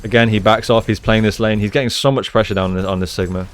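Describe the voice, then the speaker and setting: A man giving gameplay analysis commentary as a coach, explaining in a measured way and repeating phrases for emphasis. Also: on the low side, fairly even intonation, clear, resonant tone. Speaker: esports coach, video host.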